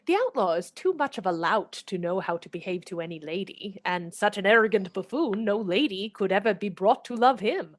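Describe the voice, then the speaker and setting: A woman's voice: medium pitch, animated, fairly quick, few pauses, dry recording, natural voice. A woman playing a proud, witty noblewoman who mocks an outlaw with arch, theatrical scorn in a radio play. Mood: mocking. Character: proud witty noblewoman Marian, mocking and spirited.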